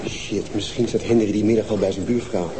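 An actor playing a quick, sardonic younger detective partner. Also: male voice, fairly low pitch, fairly even intonation, background noise, natural voice. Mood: brisk. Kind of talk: TV crime drama acting.

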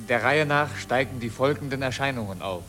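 A man playing a sober narrator, reading grim scene descriptions in a measured, scripted radio drama style. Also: medium pitch, music in the background, natural voice.

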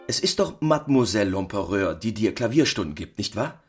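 An actor playing a trusting, puzzled husband in a German radio play, with a husky voice. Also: male voice, on the low side, very animated, fairly quick, soft background music, exaggerated voice. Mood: puzzled, probing.

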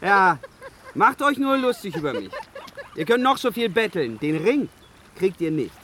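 An actor playing a naive, boastful young dragon slayer in a fantasy radio play, swaggering and defiant. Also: male voice, sits high, sing-song, normal speed, crowd noise. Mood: annoyed.

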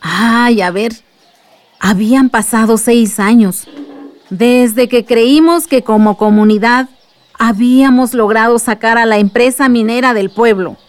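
A woman playing a kindly aunt with a Mexican accent, gently telling memories in a radio drama. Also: fairly high pitch, measured pace, natural voice. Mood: fond, nostalgic. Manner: gentle, reminiscing. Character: kindly aunt telling her village's past to a child.

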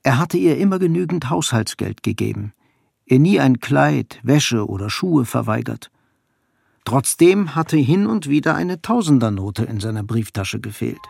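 A man's voice: mid-range voice, big pitch swings, measured pace, creaky, darkish tone, slightly rough, no echo, studio quality, heavily acted. A man narrating a radio play as a sober, detached storyteller in scripted literary prose. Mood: sober, measured.

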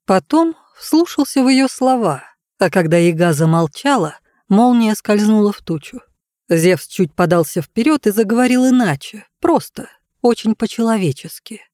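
A woman narrating a fairy tale as an audiobook reader, in an expressive scripted style. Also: medium pitch, moderate pace, no room sound, clean studio sound.

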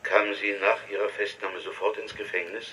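Tiefer, entfernter Befrager. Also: male voice, lowish voice, little pitch movement, fairly quick, sharp tone, distant mic, a little hiss or hum, poor audio. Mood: sober, probing. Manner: formal, matter-of-fact. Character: sober, methodical male interviewer taking testimony. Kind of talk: radio drama, formal questioning.

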